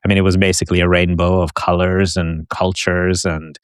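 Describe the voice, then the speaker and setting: A man with a low voice and a British accent, from Hong Kong, who talks in a thoughtful, halting way that sometimes picks up energy. He sounds close and dry, with no room around him. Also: smooth, dry recording. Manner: thoughtful, halting, animated at times. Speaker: podcast co-host. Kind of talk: casual conversation.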